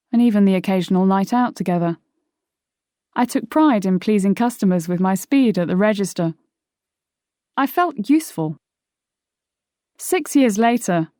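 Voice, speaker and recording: A woman reading her own nonfiction audiobook in a measured, articulate way. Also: mid-range voice, a little mellow, no echo, clean studio sound. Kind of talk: scripted audiobook narration. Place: dry studio.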